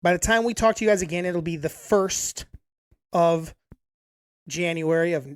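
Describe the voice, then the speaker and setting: A woman's voice: on the low side, moderate pace, no room sound. A woman in her late thirties co-hosting a podcast, teasing and mock-scolding her friend in casual chat at home. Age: 30s. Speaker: podcast co-host. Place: apartment room, recorded together in person.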